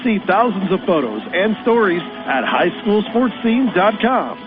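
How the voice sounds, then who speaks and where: A peppy man with a high voice pitches a magazine in an upbeat radio ad. A clear music bed plays under him. The sound is tinny and phone-like. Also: sing-song, measured pace.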